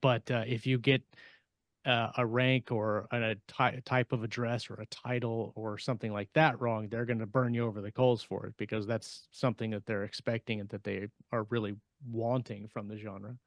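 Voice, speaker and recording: Halting man chatting on a podcast, dry sound. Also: lowish voice, flat delivery, no echo. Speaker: podcast co-host and writer. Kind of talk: casual panel discussion.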